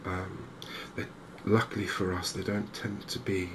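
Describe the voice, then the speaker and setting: A softly spoken man giving hesitant, unhurried ASMR-style commentary over a video game. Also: sits low, level tone. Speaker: gaming video host. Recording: clear game ambience noise behind voice. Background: outdoor wildlife ambience from a fishing game.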